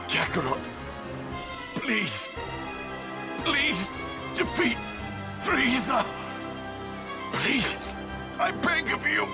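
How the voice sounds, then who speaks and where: Bitter dying anime warrior prince, gravelly n strained, pitch swings all over, music behind. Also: male voice, heavily acted. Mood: desperate pleading. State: gasping, dying. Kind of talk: dramatic anime game voice acting. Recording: loud dramatic music behind.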